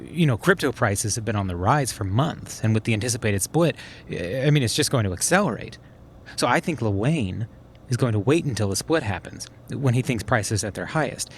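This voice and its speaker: An actor playing a sharp, methodical schemer who explains things conversationally in a naturalistic audio drama. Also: male voice, mid-range voice, slight background noise, lightly acted. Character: sharp, methodical male schemer plotting revenge on a swindler.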